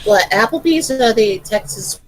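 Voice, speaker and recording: A woman's voice: medium pitch, animated, measured pace. A woman in casual group banter, recorded on a noisy microphone.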